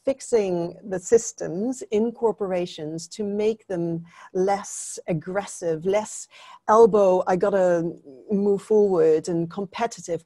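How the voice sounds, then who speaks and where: A woman's voice: mid-range voice, dark tone, no room sound. A middle-aged woman from Denmark, a native Danish speaker, earnestly explaining her views as an interview guest on a video call. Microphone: earphone headset.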